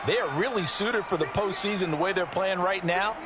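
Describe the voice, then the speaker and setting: A man's voice: high voice, fairly quick, background noise, lightly acted. A man playing an upbeat American TV football analyst who explains things in an approving, conversational way. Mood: upbeat, analytical. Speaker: color commentator in a football video game. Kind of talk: American football color commentary.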